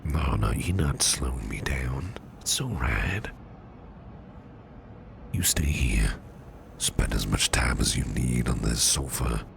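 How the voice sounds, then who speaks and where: A man playing a caring, courteous businessman boss in an ASMR roleplay, speaking in a soft, soothing, half-whispered murmur. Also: very deep voice, animated, slow, vocal fry, long pauses, a little hiss or hum, full character voice. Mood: caring, reassuring. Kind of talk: ASMR personal attention roleplay.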